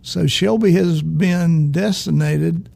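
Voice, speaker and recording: Male host, high-pitched, heavy fry, husky, easygoing. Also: takes it slowly, mellow. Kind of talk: casual interview chat.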